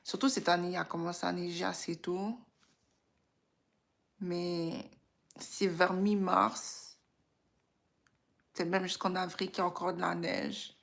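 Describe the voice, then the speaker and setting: A woman with a Québécois French accent, chatting casually and reflectively as a livestream host. She is informal and unhurried, thinking aloud as she goes. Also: on the low side, level tone, creaky. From Quebec, Canada.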